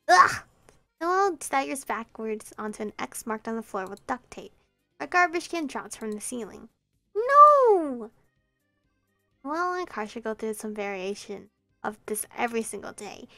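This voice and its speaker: A woman's voice: a little high, pushed and bright, no echo. A young woman streamer reading a visual novel aloud in a light, chatty way into a close mic. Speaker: let's play streamer.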